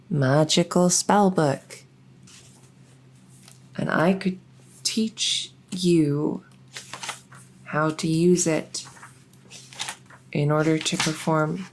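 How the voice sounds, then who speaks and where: Female performer as flustered fledgling vampire, ASMR roleplay. Mellow, very low-key tone, low pitch, earnest and hesitant. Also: slight background noise. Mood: nervous, coaxing. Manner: halting, hesitant, stammering.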